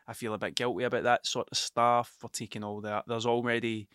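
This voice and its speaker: A man's voice: medium pitch, flat delivery, brisk, fairly bright tone, smooth, no echo, studio quality. A middle-aged man with an Ayrshire Scottish accent, rambling through a casual storytelling monologue full of swearing and "do you know what I mean". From Ayrshire, Scotland. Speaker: podcast host.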